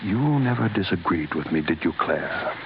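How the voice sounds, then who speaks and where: An actor playing a brooding, despairing chemist in a halting inner monologue from an old-time radio drama. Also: male voice, sits low, lively intonation, creaky. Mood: bitter, remembering. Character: brooding, despairing male chemist recalling his folly. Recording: noisy, muffled old radio recording.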